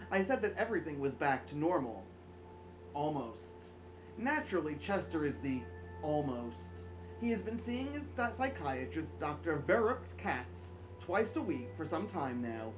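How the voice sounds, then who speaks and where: A middle-aged man reading aloud to children as a story time host, with theatrical delivery and deliberate pauses. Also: fairly high pitch, highs cut off. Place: indoors, small home room.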